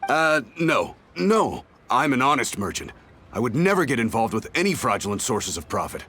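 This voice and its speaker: Flustered merchant, wildly swinging pitch. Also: male voice, very animated, normal speed, pushed and bright, a little hiss or hum. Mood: panicked. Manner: stammering, indignant. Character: flustered, defensive male merchant insisting he is honest. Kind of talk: animated video game voice acting.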